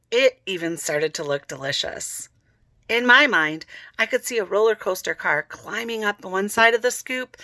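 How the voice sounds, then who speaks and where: A woman reading a children's book aloud, with chatty asides to listeners and soft whispery moments. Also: lowish voice, dry recording. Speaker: storyteller.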